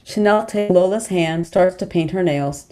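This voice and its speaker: Low, very mellow woman narrator. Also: normal speed. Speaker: stage directions reader. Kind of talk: scripted narration at a table read.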